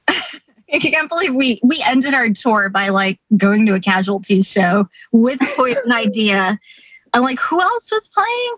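A woman's voice: fairly high pitch, very animated, a little slow, a bit bright, no room sound. An American woman from New York chatting informally as an interview guest. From Queens, New York, US. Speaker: band bassist, interview guest. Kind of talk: casual chat.

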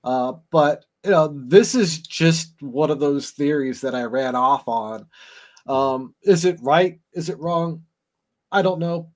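A man's voice: on the high side, slow. A man appearing as a guest on a casual podcast, rambling and hesitant as he explains his theories. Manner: rambling and hesitant, many fillers and restarts. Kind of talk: casual discussion of game lore.

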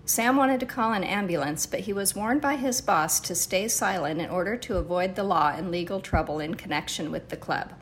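A woman with a slightly low voice reads nonfiction aloud as a podcast host. The recording carries a faint rumbling noise beneath her narration. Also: moderate pace, few pauses, poor audio. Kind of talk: reading a true-story book excerpt aloud.

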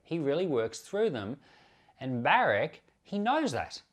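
A man's voice: a little high, very animated, unhurried. A man speaking as a pastor on a Bible podcast, explaining scripture in an informal, unscripted way with self-corrections and asides. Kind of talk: informal Bible commentary, teaching.

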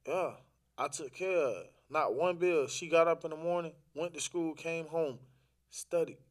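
A man's voice: a little high, normal speed. Casual, slangy man telling a personal story. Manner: animated retelling with quoted dialogue, repeats phrases for emphasis; informal, slangy, profane. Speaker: podcast guest.

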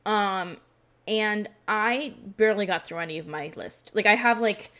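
A woman's voice: mid-range voice, measured pace, fairly bright tone. Casting: woman podcast host, mid-range voice, chatty and informal, self-deprecating, wandering through her sentences with plenty of "like.". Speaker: library professional. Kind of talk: casual bookish chat.

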